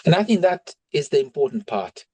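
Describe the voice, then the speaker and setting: A man's voice: a little high, big pitch swings, fairly quick, a little mellow, husky, no room sound. Upbeat male interviewer, dry close sound. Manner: affirming and enthusiastic, asks questions with "right?". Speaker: podcast host, interviewer. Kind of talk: conversational interviewing.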